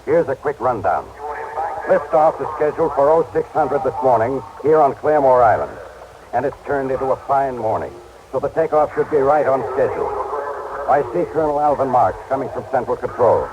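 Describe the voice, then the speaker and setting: An actor playing a composed, factual on-the-scene news reporter in a vintage radio drama. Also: male voice, mid-range voice, animated, normal speed, lightly acted. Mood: composed, expectant. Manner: formal, factual. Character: brisk, composed male radio reporter at launch site. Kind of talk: 1950s-60s live radio news report. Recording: dull, noisy vintage radio broadcast.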